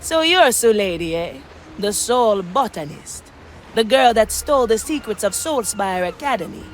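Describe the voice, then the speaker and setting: Woman as a lively, curious young pirate navigator. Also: mid-range voice, moderate pace, a little hiss or hum, close to an everyday voice. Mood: cheeky, determined. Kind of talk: video game character acting.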